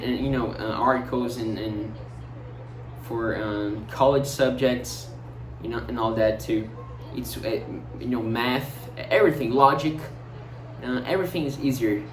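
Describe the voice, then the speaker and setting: A young man with a Brazilian Portuguese accent muses in a casual monologue, his speech full of fillers, restarts and searching for words. The sound is roomy and echoey, picked up from some distance. A clear layer of background noise sits under his voice. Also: mid-range voice. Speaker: vlogger. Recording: roomy echo, distant mic, noticeable noise.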